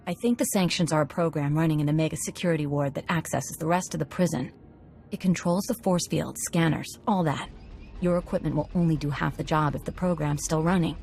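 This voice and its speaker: A woman's voice: low-pitched, a little fast, darkish tone, soft background music. A woman playing a tough, cocky convict hacker in a game cutscene, confident and matter-of-fact. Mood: confident, businesslike. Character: tough, cocky female convict computer slicer.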